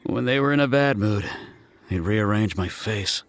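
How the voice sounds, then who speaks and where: A man's voice: on the low side, normal speed, lightly acted. A man playing a courteous, genial antiques hunter in a video game, speaking politely with a theatrical salesman's manner. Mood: weary, grateful. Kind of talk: animated video game voice acting.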